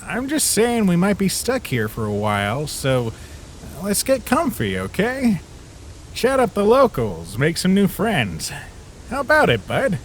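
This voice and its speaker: A man playing a laid-back, wisecracking skeleton big brother in an animated comic dub, with a casual, reassuring manner. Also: sits high, a little slow, a little hiss or hum, full character voice. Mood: easygoing, encouraging.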